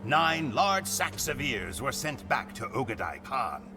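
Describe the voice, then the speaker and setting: A man's voice: medium pitch, big pitch swings, a little slow, gravelly. An actor playing a solemn, proud Mongol warrior who narrates his people's conquests in a grave, theatrical manner for a game cutscene. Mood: proud, fierce. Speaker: campaign narrator. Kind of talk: dramatic scripted cutscene narration. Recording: music behind the voice. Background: music.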